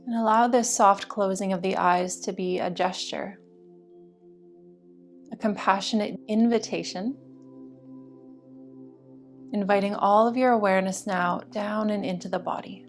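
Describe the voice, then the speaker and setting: A woman leads a restorative yoga practice as a teacher. Her phrasing is soothing and deliberate, at a medium pitch, with music playing behind her voice. Each instruction is given slowly, in the manner of a guided meditation. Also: steady tone.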